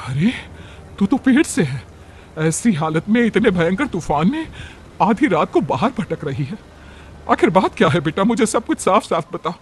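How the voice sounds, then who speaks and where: A man's voice: high voice, sing-song, moderate pace, a little hiss or hum, pitched above the performer's own voice. A man voicing a worried, caring older village aunt who fusses and questions in a storybook tale. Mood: concerned. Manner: concerned, questioning, fussing. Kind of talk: acted storybook dialogue.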